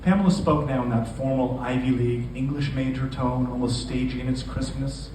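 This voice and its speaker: A man's voice: medium pitch, fairly even intonation, brisk. A man, a novelist, speaking to introduce and read his fiction at a live indoor literary event. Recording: echoey room from a distance, noisy.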